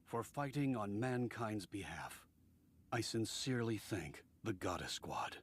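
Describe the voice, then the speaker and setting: A man's voice: lowish voice, lively intonation, unhurried, husky. A man voicing an earnest, considerate supply convoy worker in an English-language video game. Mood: earnest, grateful.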